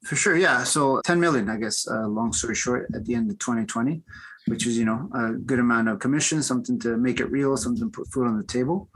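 A man's voice: mid-range voice, fast talker, fairly bright tone, poor audio. A man in his forties from Peru, raised in Toronto, speaking as an interview guest in a reflective way, often adding "right?" and "uh". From born in Peru, raised in Toronto. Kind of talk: casual interview chat.

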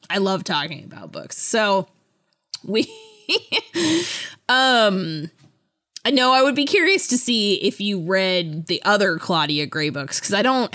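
A woman co-hosting a podcast, chatting casually about books and fandom in an animated, playfully dramatic way. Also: mid-range voice. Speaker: podcast co-host. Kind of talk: casual book and fandom chat.

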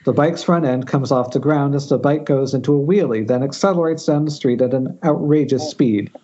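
A man's voice: medium pitch, fairly quick, mellow. A man reading horror screenplay stage directions aloud at an amateur table read over an internet call, stumbling and correcting himself. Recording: muffled internet call audio.

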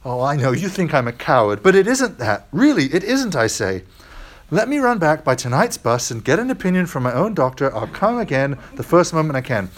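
A cowardly ghost voiced by a man begs and whimpers, his voice climbing high and lurching up and down. He sounds cornered and desperate in this storybook reading. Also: sing-song, fairly quick. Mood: panicked. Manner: whining, high and strained when panicked. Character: frightened, pleading male ghost.

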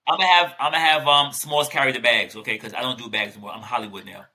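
A man's voice: mid-range voice, steady tone, fast, bright, forceful tone. A man co-hosting a podcast, chatting casually and teasing his guest with jokes. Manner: joking, teasing, playful. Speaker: podcast co-host.

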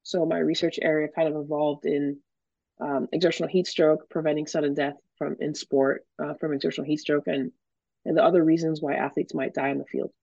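A woman's voice: low-pitched, little pitch movement, brisk, vocal fry, mellow, no echo, muffled recording. A woman from Miami, a professor, answering interview questions in a fluent, explanatory way with frequent fillers. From Miami, Florida. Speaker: professor and guest.

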